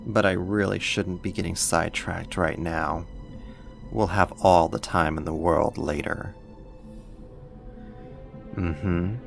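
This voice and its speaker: A man's voice: low voice, vocal fry, full character voice. A man playing a teasing, possessive, menacing villain kidnapper in an ASMR roleplay, drawing out his taunts with sighs. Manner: slow, drawn-out teasing with sighs.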